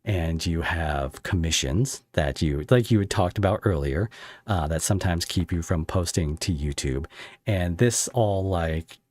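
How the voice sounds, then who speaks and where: A man with a low-pitched voice hosts a conversational interview. The sound is dry, with very little room. He words his questions loosely, restarting and repeating phrases as he goes. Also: a little slow, darkish tone, no echo. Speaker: podcast host and interviewer.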